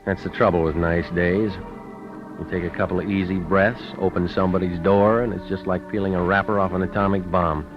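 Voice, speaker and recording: An actor playing a cynical, wisecracking private detective with deadpan, clipped delivery in a 1940s radio noir drama. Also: male voice, sits low, brisk, natural voice. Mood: wry narrating. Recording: dull old radio recording with music.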